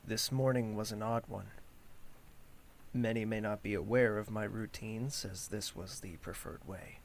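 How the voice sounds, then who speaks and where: An actor playing a brooding, guarded leader, narrating gravely and deliberately in an audio drama over some background noise. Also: male voice, lowish voice, fairly even intonation. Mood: reserved, brooding. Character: brooding, guarded male leader who employs mercenaries.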